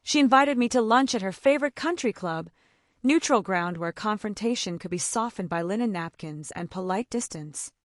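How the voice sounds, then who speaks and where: A woman's voice: mid-range voice, expressive, moderate pace, no room sound, clean studio sound. A woman delivering dramatic first-person scripted narration for an audio story.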